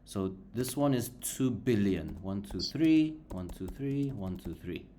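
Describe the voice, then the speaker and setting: An English tutor whose first language is Bulgarian gives a patient one-on-one online lesson over a video call, speaking slowly and encouragingly and repeating and spelling out words. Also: sits low, animated, warm tone, a little hiss or hum.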